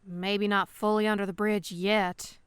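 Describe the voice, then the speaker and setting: A woman's voice: mid-range voice, flat delivery, unhurried, clear, resonant tone, no room sound, natural voice. A woman playing an exasperated, protective spaceship crew member in an audio drama, speaking emphatically. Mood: wry.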